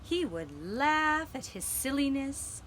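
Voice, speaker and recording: Female children's storyteller, gentle and deliberate, lilting over a wide range, with slight background noise. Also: sits high, very animated. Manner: slow, deliberate, dramatic phrasing with pauses. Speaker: storyteller and puppeteer.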